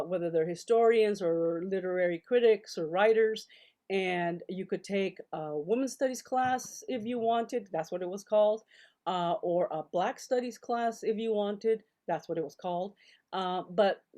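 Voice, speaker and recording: A woman in her sixties from El Paso, talking on a video call from her home study, telling stories in a lively way with many restarts and fillers. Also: on the low side. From El Paso, Texas. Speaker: guest, novelist and scholar. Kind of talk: casual storytelling interview. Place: home study with full bookshelves.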